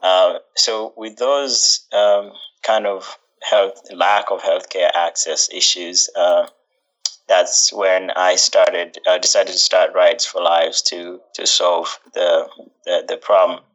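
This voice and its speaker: Ugandan-born young male guest, deep voice, unhurried, thoughtful and halting. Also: level tone, fairly bright tone, rough recording. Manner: thoughtful, with many fillers and restarts. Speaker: guest, social entrepreneur. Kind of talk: interview answers.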